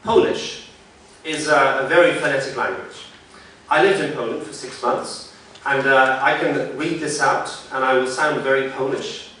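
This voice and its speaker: A man, a phonetician, giving a conversational conference talk, thinking aloud with frequent pauses. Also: mid-range voice, fairly even intonation, pushed and bright, slight background noise. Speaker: symposium speaker, phonetician. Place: large lecture room. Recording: very echoey hall, mic far off.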